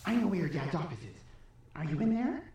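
A woman's voice: lowish voice, animated, a little fast, dark tone. A woman playing a persuasive, impatient child in an audio drama, coaxing and insistent, with a voice that sounds older than a kid's. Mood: guiding. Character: persuasive, impatient kid trapped and scheming. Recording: roomy, echoing sound.

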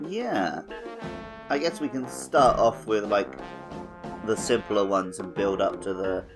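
A man's voice: fairly low pitch, slow, music in the background. A man co-hosting a casual gaming podcast, chatting in a playful, teasing way. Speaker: podcast co-host.

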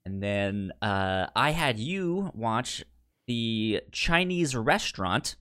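A man with a fairly low voice chats casually as a podcast co-host. He stammers often, repeating the first sounds of words, and the recording is dry and close, with little room sound. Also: animated, takes it slowly, sharp tone, clear, resonant tone, no room sound. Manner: stammering, repeats word starts. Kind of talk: casual chat about TV sitcoms.

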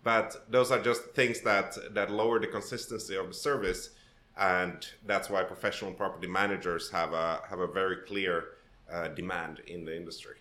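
A man's voice: low-pitched, flat delivery, normal speed, sharp tone, roomy sound. A man raised in Finland, a podcast guest talking through his story thoughtfully, with restarts and fillers. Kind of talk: conversational interview.

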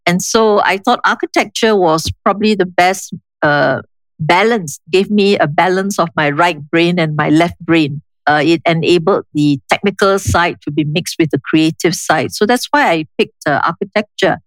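Older Singaporean woman, low-pitched and measured. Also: no room sound, low-quality recording. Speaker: interview guest, urban planning official. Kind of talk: conversational interview, reminiscing.

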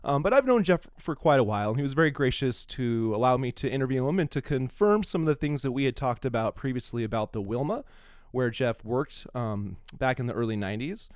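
Podcast host: American man, animated mid-range voice, casual but opinionated delivery, dry close recording. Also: medium pitch, fairly quick, no room sound.

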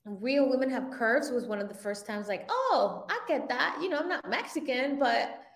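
High-voiced woman in a roomy echo. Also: moderate pace. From Venezuela. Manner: animated, laughs often, enthusiastic. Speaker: guest, filmmaker and producer. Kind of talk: casual conversational interview. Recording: roomy echoey sound.

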